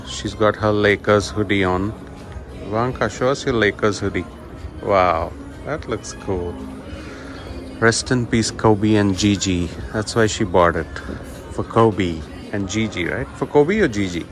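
A man giving relaxed, chatty commentary while walking through a large indoor shopping mall, filming on a handheld phone. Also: lowish voice, measured pace, music in the background. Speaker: vlogger. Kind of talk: casual walking vlog narration. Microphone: handheld phone camera.

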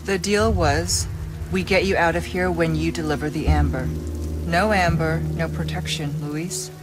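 A woman voicing a poised, guarded female secret agent in a video game, with a smooth, sly and teasing delivery. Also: sits low, steady tone, normal speed, in a large hall, over music, natural voice. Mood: cool, firm.

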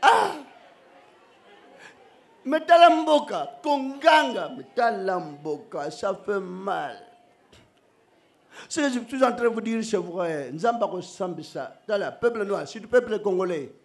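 Middle-aged male preacher, very high voice, lively, joking, shouts at times, stand mic, outdoor stage. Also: takes it slowly, creaky, pauses often, some chatter behind. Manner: animated, joking, switching between shouting and soft asides. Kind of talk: sermon. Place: outdoor church stage under a canopy. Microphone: stand microphone.

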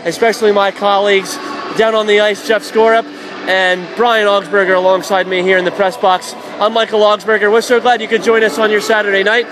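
A young man calls hockey play-by-play for college radio from a press box. His voice sits high and carries over loud arena noise. He reels off passes and shots quickly and lifts into shouts when goals go in. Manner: rapid excited calls rising to shouts on goals. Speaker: play-by-play announcer.

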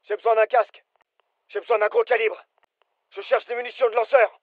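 Casting: alert game soldier, very high-pitched, clipped urgent commands, dry muffled recording. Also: male voice, flat delivery, fairly quick, no echo. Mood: businesslike. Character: British fireteam soldier, alert, businesslike adult man. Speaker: voice actor, French dub. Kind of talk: military shooter game callouts.

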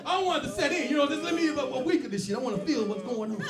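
A man's voice: very high voice, expressive, rapid-fire, over a noisy crowd. A man in his thirties doing a loose, profane stand-up set on a small club stage, with a handheld mic. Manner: loose, profane, storytelling with act-outs. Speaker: stand-up comedian. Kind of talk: stand-up comedy routine. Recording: roomy club, distant mic, loud laughter.

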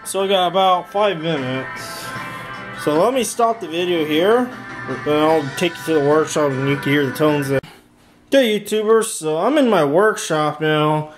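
A man's voice: on the high side, normal speed, music in the background, low-quality recording. A young man giving a casual, handheld video demonstration as the host of a home vlog. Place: bedroom and home workshop. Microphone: handheld camera or phone, held by the speaker.

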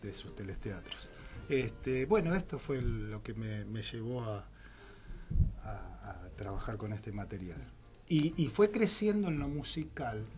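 A man's voice: on the low side, big pitch swings, a little slow, darkish tone. A middle-aged man with an Argentine accent, a playwright guest talking hesitantly and reflectively in a radio interview. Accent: Argentine Spanish. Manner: reflective, hesitant, many fillers. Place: small radio studio. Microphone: studio microphone with headphones.

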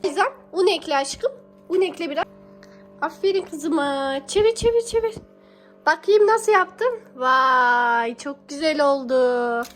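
A young mother with a high-pitched voice chats casually to her small child. She coaxes and praises with affectionate pet names, often repeating her phrases. Soft music plays underneath her voice. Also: lively intonation, unhurried. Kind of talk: casual coaxing talk to a small child while baking.

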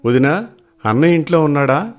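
An actor playing a poor, humble, weary village cobbler in an animated moral story, earnest and pleading. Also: male voice, on the high side, a little fast, close to an everyday voice.

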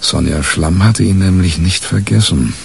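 An actor playing a wry, wary tabloid reporter in a German radio crime drama, colloquial and sardonic. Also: male voice, low voice, normal speed, gravelly, background noise. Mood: wry narrating. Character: wry, wary, deal-making tabloid newspaper reporter, male. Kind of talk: 1980s German radio crime drama, dialogue and first-person narration.

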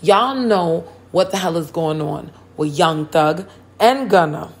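Hoarse woman hosting with hype. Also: on the low side, expressive, takes it slowly. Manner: hype, slangy, hip hop talk. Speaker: podcast host. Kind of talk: casual chat.

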